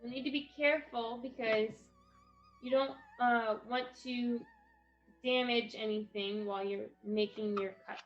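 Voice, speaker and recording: A woman presenting a casual step-by-step craft tutorial for children, speaking in an instructional way with pauses between phrases. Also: fairly high pitch, fairly even intonation, dull audio, soft background music. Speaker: craft presenter for a children's read-aloud project.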